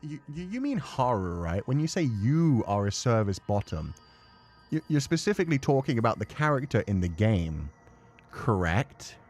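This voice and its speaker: A man streaming a game with playful, expressive, casual commentary. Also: medium pitch, moderate pace.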